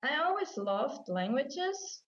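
A woman's voice: high-pitched, very animated, takes it slowly, a bit bright. A woman from Austria with an Austrian German accent, a native German speaker telling her story as an interview guest and acting out her own past thoughts. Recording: slightly dull lo-fi sound.

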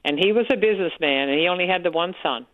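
A woman with a Newfoundland accent calling in to a radio show, loud-voiced and frank, talking on and on. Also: lowish voice, quick pace, dry recording. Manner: frank, talkative storyteller. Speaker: caller, support group organizer. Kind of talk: radio call-in chat. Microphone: telephone. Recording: tinny phone line.

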